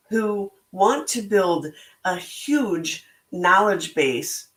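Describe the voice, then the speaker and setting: A middle-aged woman speaks slowly and deliberately, her voice slightly hoarse with a gravelly edge. She talks in a thoughtful, motivational manner, as if reflecting aloud. The room around her is echoey. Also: medium pitch. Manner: slow, thoughtful, motivational delivery. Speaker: podcast host and book club organizer. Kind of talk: reflective solo talk.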